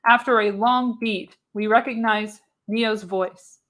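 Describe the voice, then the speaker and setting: A woman's voice: on the high side, steady tone, slow pace, a bit bright. A woman reading screenplay narration for a live radio play, theatrical and emphatic on the action. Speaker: podcast host reading the narration.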